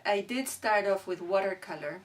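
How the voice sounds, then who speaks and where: Woman, Spanish speaker, halting and reflective, somewhat far from the mic, faint background noise. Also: mid-range voice. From Argentina. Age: middle-aged. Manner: thoughtful, halting, searching for words. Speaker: artist being interviewed. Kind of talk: reflective interview talk about own work. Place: indoors beside a displayed print.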